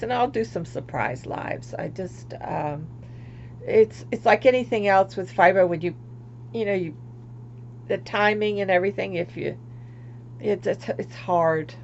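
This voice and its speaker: A woman's voice: medium pitch, gravelly, a little hiss or hum. Chatty older woman, lo-fi. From Florida. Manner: rambling, chatty, laughs at herself, trails off into quiet asides. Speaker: craft vlogger. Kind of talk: casual livestream chat.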